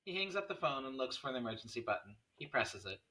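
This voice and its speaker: Guy reading stage directions from a script, bright forceful voice, normal pitch. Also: sing-song, quick pace. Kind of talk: scripted screenplay narration.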